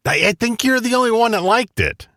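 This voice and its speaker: A man's voice: very high-pitched, lively intonation, a little fast, bright, forceful tone, dry recording, studio quality. A man co-hosting a radio show, bantering casually as he reads out countdown lists.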